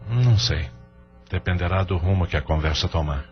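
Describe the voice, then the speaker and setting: A very deep male voice of an actor plays a Brazilian father weighed down by grief, gentle yet evasive. It is audio drama with faint music behind, slightly dull in sound. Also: normal speed, natural voice. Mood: subdued. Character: grieving, burdened father, gentle but evasive businessman.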